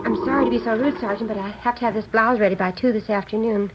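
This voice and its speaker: A woman's voice: a little high, steady tone, fast, close to an everyday voice. An actress in 1950s radio drama playing a worried, devoted seamstress in her thirties who talks hesitantly and pleads. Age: 30s. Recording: muffled old radio broadcast with hiss.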